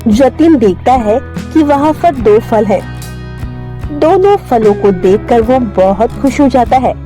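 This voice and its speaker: A woman reads a children's tale in scripted storytelling style. Her voice is fairly high and soft-edged, mellow throughout, with music playing underneath. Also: animated, slow.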